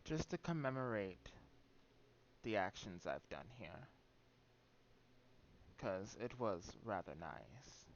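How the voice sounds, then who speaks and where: A man giving casual, rambling livestream commentary on a game in a halting, loose way. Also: lowish voice, very animated. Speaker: game streamer. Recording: muffled mic with background noise.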